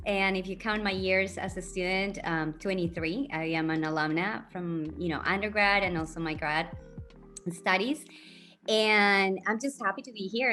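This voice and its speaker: A middle-aged woman from Colombia, a guest speaking earnestly about her path and giving advice, pausing often with ums, heard over a video call. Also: medium pitch, fairly bright tone. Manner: earnest, reflective, hesitant with many ums. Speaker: university diversity officer, guest.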